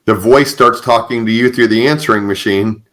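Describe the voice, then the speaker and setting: A man's voice: mid-range voice, normal speed, a bit bright. An American man speaking as a podcast host in a casual interview style.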